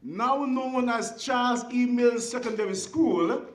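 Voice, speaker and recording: A speaker with a Kittitian accent giving a formal ceremonial speech in a deliberate, oratorical way, with emphatic short phrases. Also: medium pitch. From Saint Kitts and Nevis. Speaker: guest speaker at a school ceremony. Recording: echoey venue sound.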